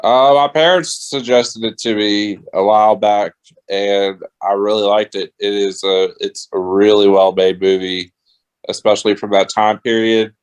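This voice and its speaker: Smooth, low-voiced man chatting. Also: fairly even intonation, a little slow, poor audio. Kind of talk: casual chat on a radio show.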